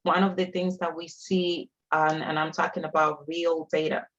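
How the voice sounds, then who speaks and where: A woman's voice: low-pitched, little pitch movement, moderate pace, a bit bright, lo-fi sound. A woman from the Dominican Republic with a Dominican Spanish accent, a native Spanish speaker, making an emphatic, impassioned case as a community panelist. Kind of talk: panel discussion, impassioned advocacy.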